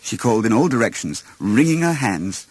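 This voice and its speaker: Expressive male storyteller with swooping intonation. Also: mid-range voice, normal speed, no room sound. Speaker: storyteller, audiobook reader. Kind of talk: expressive children's storybook narration.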